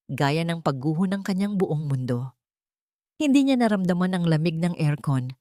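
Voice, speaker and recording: A woman's voice: fairly low pitch, dark tone, no echo, clean studio sound. A woman narrating a scripted dramatic story in a solemn, melodramatic manner. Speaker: audiobook narrator.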